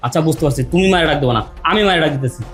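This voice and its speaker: Man as a devoted son back from abroad, insistent and pleading, brisk delivery. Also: on the high side, faint music behind, close to an everyday voice.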